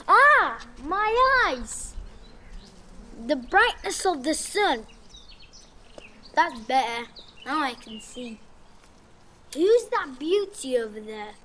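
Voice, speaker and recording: A woman playing an impulsive underworld god in an audio drama, slow and forceful. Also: high voice, very animated, slight background noise, full character voice. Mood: pained. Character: impulsive underworld god, dazzled, wanting a bride. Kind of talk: school audio drama acting.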